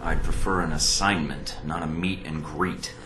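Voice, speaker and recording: A man's voice: rumbling low, fairly even intonation, moderate pace, reverberant, music in the background. A man playing a cocky, sardonic secret agent recruit in a video game, with a dry, wisecracking delivery. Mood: dry sarcastic.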